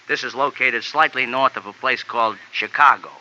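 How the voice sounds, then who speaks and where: An actor playing a small, excitable male jockey in a 1950s radio drama, talking fast, wordy and emphatic. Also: mid-range voice. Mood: earnest. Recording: lo-fi old radio broadcast.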